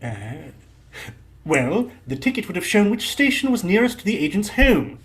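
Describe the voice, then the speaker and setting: A man's voice: sits high, sing-song, moderate pace, a bit bright. A man acting a cocksure, defensive police inspector in a radio play, eager and fussy. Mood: smugly confident.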